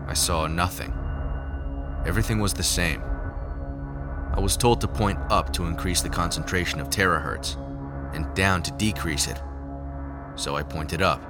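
A man playing a haunted lab scientist, narrating a scripted audio drama in a measured, brooding way. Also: sits low, lightly acted. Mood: awed, absorbed. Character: haunted male lab scientist recounting secret experiments. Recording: music under the voice. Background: music.

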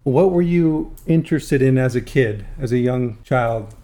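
A man's voice: mid-range voice, animated, a little slow, warm tone. A man hosting a podcast who asks his guest questions in an upbeat, encouraging way. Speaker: podcast host. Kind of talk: podcast interview.

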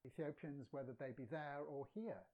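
A man's voice: on the high side, normal speed, narrow, tinny audio. A man who grew up partly in England, chatting as a guest poet and translator, telling anecdotes and laughing now and then. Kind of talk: casual storytelling.

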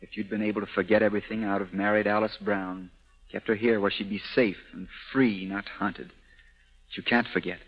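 A man's voice: lowish voice, little pitch movement, moderate pace, faint music behind, lightly acted. An actor playing a steadfast, protective man in love in a 1930s radio drama, earnest and resolute. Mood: earnest, pleading. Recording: dull old 1930s radio recording.

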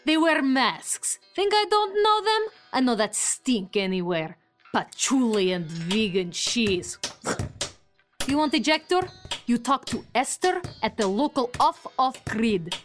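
A woman voicing a crooked, blustering male parts dealer who speaks in broken English and yells at an offstage son. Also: on the high side, sing-song, measured pace, soft background music. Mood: conspiratorial. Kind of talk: audio fiction comedy acting.

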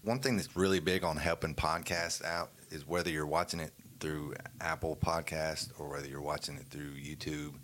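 A man's voice: very deep voice, steady tone, normal speed, few pauses, a bit bright, slight background noise. A man on a podcast, chatting casually and restarting his words often. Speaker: podcast co-host. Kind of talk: casual chat.

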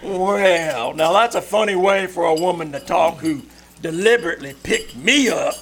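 A man playing a big, slow-witted, bewildered Southern Navy officer in old-time radio comedy, hesitant and stiffly courteous. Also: high-pitched, gravelly. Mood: affronted.

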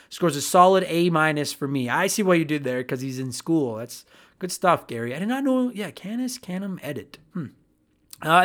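A man hosting a gaming podcast in casual chat, enthusiastic and swearing freely. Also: high-pitched. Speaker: podcast host.

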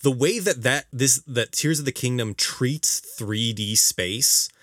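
A man co-hosting a casual video game podcast, talking emphatically with halting explanations and exasperated teasing. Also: mid-range voice, a bit bright, dry recording, studio quality. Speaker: podcast co-host.

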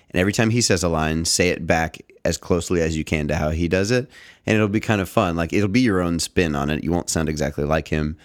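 A man's voice: low voice, no room sound, clean studio sound. A young man co-hosting a podcast, chatting casually and joking with playful vocal swoops. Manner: joking, playful, sometimes swoops his voice for effect. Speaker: podcast co-host.

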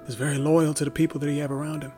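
A male actor playing a wrongly imprisoned young man telling his story in a halting, reflective monologue for a documentary audio drama. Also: fairly high pitch, flat delivery, music in the background, lightly acted. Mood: solemn, earnest.

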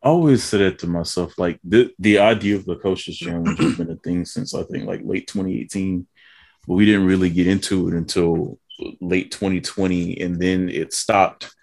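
A man co-hosting a podcast in casual chat, blunt and profane with drawn-out reactions. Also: low-pitched, steady tone, darkish tone. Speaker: podcast co-host.

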